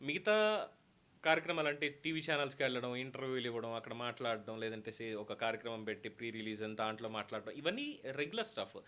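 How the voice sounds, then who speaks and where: A man's voice: medium pitch, animated, fast talker, pushed and bright. A man hosting in a casual, chatty commentary style, dropping English words into his talk. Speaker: show host.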